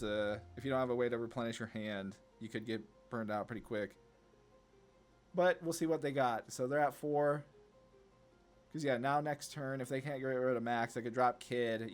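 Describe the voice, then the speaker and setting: Casual man commentating gameplay over music. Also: medium pitch. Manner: laid-back, thinking aloud. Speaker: gaming content creator.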